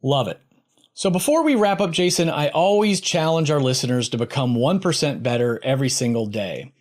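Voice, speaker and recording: A man's voice: medium pitch, animated, a little fast. A man hosting a podcast, interviewing a guest in a conversational way.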